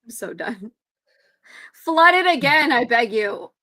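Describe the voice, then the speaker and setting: A woman's voice: on the high side, very animated, takes it slowly, pushed and bright, dry recording. A woman co-hosting a casual livestream, throwing in sarcastic quips. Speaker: stream co-host.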